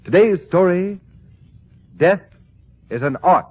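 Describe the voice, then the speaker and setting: A man's voice: high voice, expressive, takes it slowly, faint music behind. A man announcing a 1940s radio drama with theatrical, emphatic scripted narration. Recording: tinny old radio broadcast with noise.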